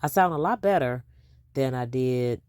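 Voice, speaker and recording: Sassy woman host with a very low, hoarse voice, recorded dry and close. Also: expressive, a little mellow, no echo. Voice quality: naturally deep voice. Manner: sassy, mocking, quick to laugh. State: hoarse from a cold. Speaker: commentary host. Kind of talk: reaction commentary.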